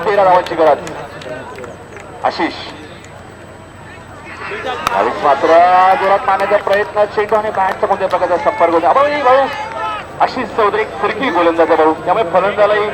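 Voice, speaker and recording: A man giving live, informal cricket commentary at a village tournament, often repeating himself and addressing those around him. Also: high voice. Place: outdoor cricket ground. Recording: noisy outdoor event with loud crowd. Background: crowd and outdoor ambience noise.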